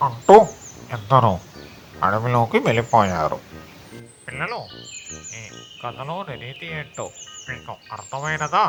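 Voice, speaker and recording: A man's voice: medium pitch, lively intonation, unhurried, long pauses, fairly bright tone, faint music behind. A man narrating a story for children as its storyteller.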